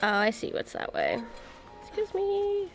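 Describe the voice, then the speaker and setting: A woman's voice: very high voice, wildly swooping pitch, unhurried, music in the background. A woman giving casual live commentary as she streams a video game.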